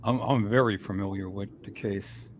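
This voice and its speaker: Low-voiced male caller who speaks loudly and eagerly. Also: fairly even intonation, moderate pace, slight background noise. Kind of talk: casual live show chat.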